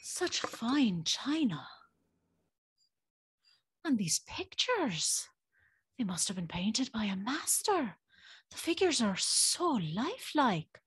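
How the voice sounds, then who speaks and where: Curious young servant girl, polite, hesitant, breathy, slow pace, dry studio sound, audio drama. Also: fairly high pitch, big pitch swings, fairly bright tone, dry recording, exaggerated voice. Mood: reflective, wondering. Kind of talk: audio drama acting with first-person storytelling.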